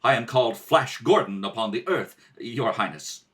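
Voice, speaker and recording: A man's voice: mid-range voice, animated, normal speed, fairly bright tone, recorded from a distance, voice pushed down, natural voice. A man playing a bold young hero in an old-time radio adventure serial, earnest and urgent. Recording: roomy home recording, off the mic.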